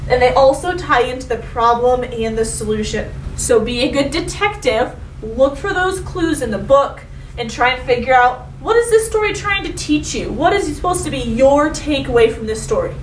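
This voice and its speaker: A woman schoolteacher giving a read-aloud lesson to young pupils, speaking instructively and directly, with expressive emphasis. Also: high voice, moderate pace. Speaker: elementary school teacher. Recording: roomy, distant mic with faint noise.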